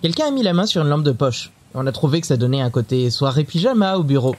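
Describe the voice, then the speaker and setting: An actor playing a wry office colleague who tells melodramatic tales in an audio comedy. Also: male voice, fairly high pitch, big pitch swings, quick pace, a little mellow. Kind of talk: amateur audio comedy drama.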